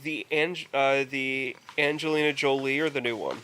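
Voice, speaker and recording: Bright male podcast co-host, thinking aloud. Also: medium pitch, steady tone, normal speed, a little hiss or hum. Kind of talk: casual chat about movies and video games.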